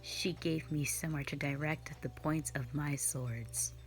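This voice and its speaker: Actress as a nonhuman female slayer, sardonic and dismissive; low, unhurried delivery for an audio drama. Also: steady tone, faint music behind. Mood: wistful. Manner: dismissive, mocking, blunt.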